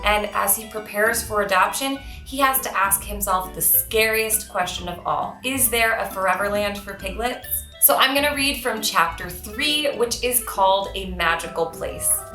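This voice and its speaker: A bright, forward-voiced woman narrates a children's book with an expressive storyteller's delivery. The room adds noticeable echo, and music plays behind her. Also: medium pitch, normal speed, few pauses. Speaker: children's author reading aloud. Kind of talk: storybook read-aloud for children.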